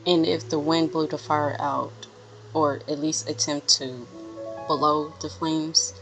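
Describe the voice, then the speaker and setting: A woman's voice: low-pitched, fairly even intonation, slow, low-quality recording. A young woman giving casual reaction commentary as she plays a video game. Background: game music.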